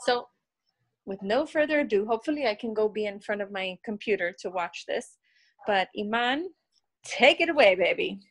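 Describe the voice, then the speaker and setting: A woman's voice: medium pitch, animated, moderate pace, a bit bright. A woman hosting a group video call, introducing a guest in a casual, encouraging way. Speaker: host and fitness coach.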